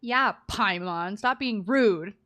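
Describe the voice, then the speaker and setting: A woman's voice: medium pitch, takes it slowly, dry recording. A woman live streaming a game, chatting casually and playfully with cutesy slang. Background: soft background music.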